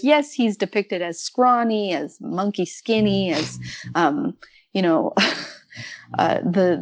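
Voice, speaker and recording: A woman's voice: mid-range voice, dry recording. A woman chatting casually and enthusiastically about literature as a podcast guest.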